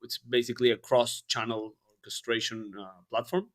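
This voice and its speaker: A man's voice: medium pitch, little pitch movement, moderate pace. Man chatting, many fillers. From Argentina. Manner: rambling, frequent fillers and restarts. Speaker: podcast guest. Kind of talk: casual interview chat.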